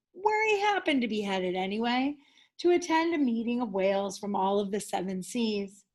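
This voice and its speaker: A woman, a teacher, narrating a children's picture book aloud in an expressive storytelling style. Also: sits high.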